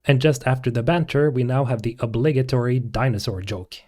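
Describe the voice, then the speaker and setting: Mellow-voiced man narrating a video. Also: mid-range voice, a little fast, slight background noise. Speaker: video host. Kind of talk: scripted narration.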